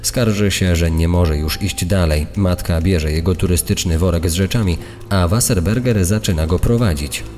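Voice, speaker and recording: Very low, mellow man in reverb. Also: moderate pace. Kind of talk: storytelling, explanatory commentary. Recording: long reverberant hall sound. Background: faint music.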